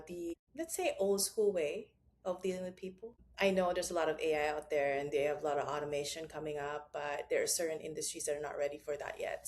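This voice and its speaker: Lively woman from the Philippines with a lowish voice. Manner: animated, laughs often, rises in pitch when telling stories. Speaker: guest, founder of a consulting firm. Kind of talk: casual interview conversation.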